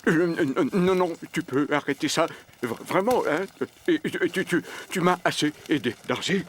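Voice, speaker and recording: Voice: medium pitch, normal speed, slight background noise, lightly acted. An actor voicing a big, self-pitying sick bear who moans and stammers in a French cartoon dub. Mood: nervous, protesting. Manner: moaning, stammering, melodramatic. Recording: loud cartoon music behind.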